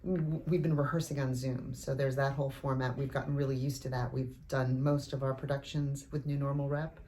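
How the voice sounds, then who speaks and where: Low, mellow-voiced woman in interview. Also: flat delivery, a little fast, slight background noise. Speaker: actor. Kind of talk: reflective interview.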